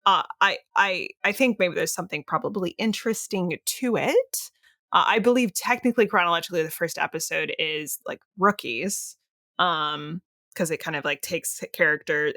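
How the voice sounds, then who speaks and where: A woman's voice: low-pitched, vocal fry, sharp tone, no echo, studio quality. A woman co-hosting a casual fan podcast, chatting in a giggly, effusive way with some hesitation. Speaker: podcast co-host.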